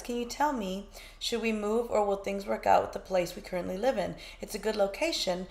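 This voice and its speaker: A woman with a mid-range voice reads viewers' messages aloud and answers them in a relaxed, chatty way. Her voice carries a noticeable room echo. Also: medium pitch. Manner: casual, reads comments aloud. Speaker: psychic medium podcast co-host. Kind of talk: reading viewer comments on a live stream. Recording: echoey room.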